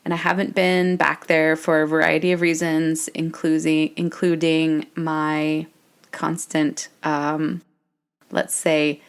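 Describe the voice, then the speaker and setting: Middle-aged American woman, podcast host, slightly low voice, talks in a chatty, wandering way. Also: smooth. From United States. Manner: chatty, reflective, digressive. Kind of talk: personal podcast monologue.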